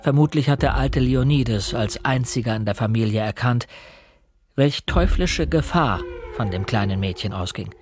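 An actor playing a reflective young man, narrating a radio crime drama in a measured, earnest way. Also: mid-range voice, expressive, a little mellow, lightly acted. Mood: rueful. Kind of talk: scripted first-person radio drama narration.

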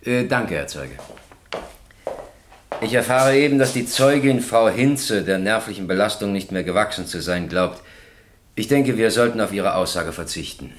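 A man acting a formal, polite presiding judge who runs a trial in measured phrases, in a radio drama. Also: fairly low pitch, natural voice. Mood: formal, businesslike. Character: courteous, orderly male presiding judge running a trial.

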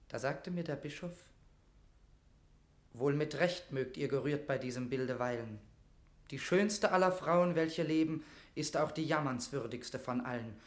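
A male actor as a young nobleman burning with religious zeal and devotion to his queen. His mid-pitched voice declaims stage verse solemnly and passionately. The room around it echoes clearly, as in a radio play. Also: natural voice. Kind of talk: classic German verse drama, declaimed radio acting.

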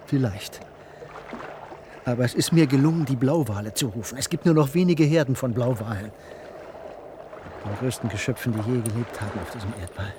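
An actor playing an obsessed, resolute whale researcher and diver in a German radio play. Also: male voice, mid-range voice, brisk, pauses often, noisy background, close to an everyday voice. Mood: fervent.

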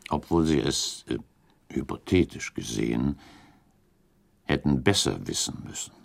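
An actor playing a shrewd, calculating count in a radio drama, speaking in a deliberate, formal and pointed way. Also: male voice, extremely low pitch, lively intonation, gravelly, exaggerated voice. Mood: insinuating. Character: shrewd, calculating count advising a prince, diplomatic. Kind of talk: German radio drama acting.

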